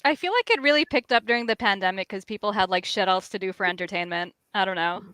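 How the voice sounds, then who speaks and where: A woman's voice: medium pitch, fast talker, sharp tone, clear, resonant tone, dry recording. A woman chatting casually in a group, blunt and slangy, with a wry, exasperated manner. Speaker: podcast panelist, comic artist.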